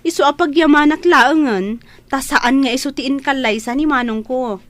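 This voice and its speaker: A woman's voice: high-pitched, normal speed, fairly bright tone, no echo. A woman playing an indignant, scornful gossiping younger sister-in-law in an Ilocano radio drama, with an Ilocano accent.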